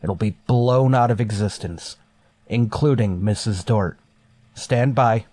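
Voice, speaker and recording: A man playing a stern, wary starship captain in a sci-fi radio drama, giving curt orders with measured authority. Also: on the low side, dark tone, slight background noise. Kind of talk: golden age sci-fi radio drama acting.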